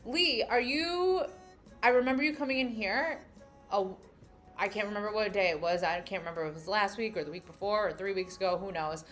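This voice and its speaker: A woman's voice: mid-range voice, brisk, a bit bright, soft background music. A young adult woman from New York with a New York accent, chatting casually with live-stream viewers and thinking aloud as she reads their comments. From New York City. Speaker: livestream host.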